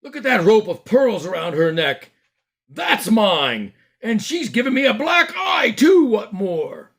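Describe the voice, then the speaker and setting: A man voicing a loud, indignant stout shopkeeper in a read-aloud storybook. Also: sits high, very animated, a little slow, pitched above the performer's own voice, exaggerated voice. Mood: outraged. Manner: shouting, outraged.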